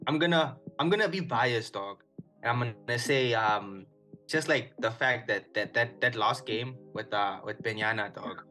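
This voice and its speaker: Co-host, relaxed slangy talker, mid-pitched voice, with a faint music bed behind. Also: male voice, moderate pace. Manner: laid-back, slangy, keeps saying "dog" and "one hundred". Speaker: podcast co-host. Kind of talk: casual chat. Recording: music playing faintly underneath.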